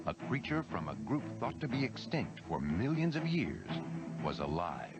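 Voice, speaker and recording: A man narrates a documentary in a very low voice. His scripted delivery rises and falls in a lively way, with loud music playing behind him. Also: normal speed.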